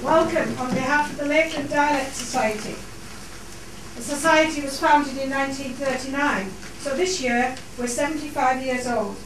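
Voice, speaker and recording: A woman host giving a formal welcome and introduction to an audience in a theatre. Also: high-pitched. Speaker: society host introducing a play. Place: theatre, on stage. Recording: echoey hall, distant mic, noisy.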